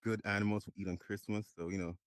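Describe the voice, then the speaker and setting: A man's voice: on the low side, little pitch movement, fast talker, clean tone, no room sound. A man from Ghana joking around as a guest in a casual chat. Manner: playful, joking.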